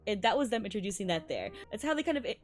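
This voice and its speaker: A young adult woman whose first languages are Malayalam and Tamil, a violinist giving a bubbly, excitable casual breakdown of music and laughing often. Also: mid-range voice, rapid-fire. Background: faint music playing.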